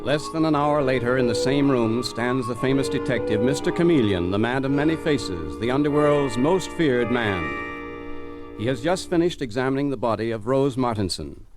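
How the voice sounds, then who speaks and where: Animated, dramatic 1940s radio announcer, male. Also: medium pitch, music in the background. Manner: dramatic, formal, deliberate. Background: musical instrument.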